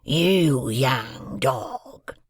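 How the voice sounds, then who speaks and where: Give me a man playing a menacing convict in a storybook, slow and gravelly with whispered threats. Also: medium pitch, lively intonation, no room sound, studio quality, exaggerated voice. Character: menacing ragged male convict, hungry and threatening.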